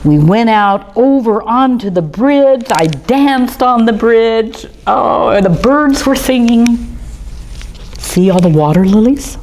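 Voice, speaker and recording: A middle-aged woman reading and chatting through a children's storytime at an art museum, gently enthusiastic as she shows pictures. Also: on the high side, expressive, slow, slight background noise, rough recording.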